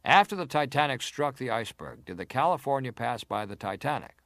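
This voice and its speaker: Formal, probing senator. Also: male voice, mid-range voice, sing-song, brisk, fairly bright tone, dry recording, clean studio sound. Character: formal, probing male senator questioning a witness. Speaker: inquiry chairman, questioner. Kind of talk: dramatized reading of historical testimony.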